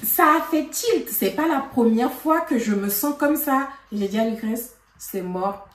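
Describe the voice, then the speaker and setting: Woman vlogger, animated storytime chat, higher pitch, mic at a distance. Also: measured pace. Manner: animated, laughing, lots of fillers. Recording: roomy, from a distance.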